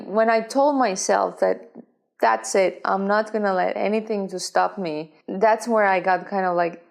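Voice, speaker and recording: Earnest Estonian woman guest, halting English. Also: fairly low pitch, gravelly. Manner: earnest, non-native phrasing with frequent restarts. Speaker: guest, professional swimmer. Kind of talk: conversational interview.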